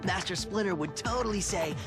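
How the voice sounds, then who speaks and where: An actor playing a goofy, teasing teenage mutant turtle slacker in a video game, full of playful slang. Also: male voice, high-pitched, fairly quick, loud music behind the voice.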